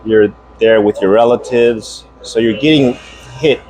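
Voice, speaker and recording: A middle-aged man from the United States, a guest reminiscing in relaxed, rambling conversation and laughing easily. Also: mid-range voice, measured pace, slight background noise, rough recording. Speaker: interview guest.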